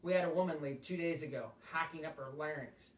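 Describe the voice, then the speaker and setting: A man's voice: a little high, fairly quick, distant mic, lightly acted. A blunt, practical male mountain guide who talks in a matter-of-fact way, read by a man. The room echoes, and the voice sits back from the mic.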